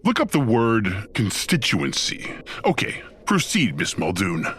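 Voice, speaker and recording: A man's voice: on the low side, huge pitch swings, measured pace, a bit bright, slight background noise, exaggerated voice. An actor playing Satan, a smug, sardonic and theatrical ruler of Hell who toys with his listeners in an audio drama. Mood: smug, dismissive. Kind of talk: scripted audio drama acting.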